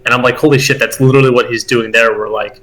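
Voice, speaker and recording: A man co-hosting a casual chat podcast, talking in a rambling, animated way with many fillers and restarts. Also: medium pitch, fast, crowd noise. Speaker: podcast co-host.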